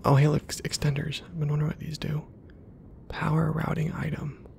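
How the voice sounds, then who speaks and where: A man giving ASMR gameplay commentary in a soft half-whisper, musing casually to himself in a hushed way. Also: a little high, completely flat delivery, a little fast, vocal fry, slight background noise. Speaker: video game streamer.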